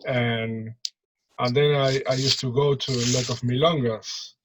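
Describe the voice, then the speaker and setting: A man with an Argentine Spanish accent talking casually in an interview, repeating words in halting non-native English. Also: medium pitch, steady tone, background noise. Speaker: musician, percussionist and drummer interviewed.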